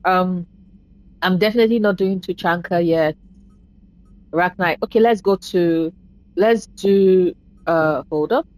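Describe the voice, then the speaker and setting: A woman casually commentating her own game playthrough, chatty and self-deprecating, speaking low and soft because talking hurts right now. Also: measured pace, long pauses, poor audio. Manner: chatty, self-deprecating, swears at setbacks in play. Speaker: gaming channel host. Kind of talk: casual let's-play commentary.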